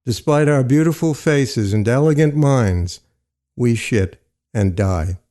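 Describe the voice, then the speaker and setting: A man narrating literary fiction in a measured, deliberate storytelling manner. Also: medium pitch, animated, warm tone.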